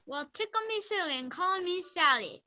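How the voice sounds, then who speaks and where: A woman playing a sly, flattering country raccoon in a children's readers theater piece, on muffled, quiet audio. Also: very high voice, normal speed, full character voice. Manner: exclaiming, country-style talk.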